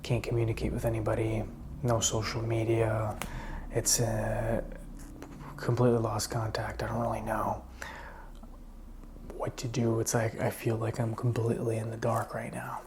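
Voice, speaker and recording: A man playing a calm, helpful survivor in a zombie apocalypse ASMR roleplay, whispering and speaking softly in a hesitant, intimate, musing way. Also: on the low side, moderate pace, noisy background, lightly acted. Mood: resigned, wry.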